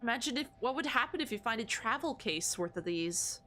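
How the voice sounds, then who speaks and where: A woman's voice: fairly high pitch, lively intonation, brisk, natural voice. A woman voicing a sly, masked young fox girl who teases in a mocking, singsong way. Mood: teasing.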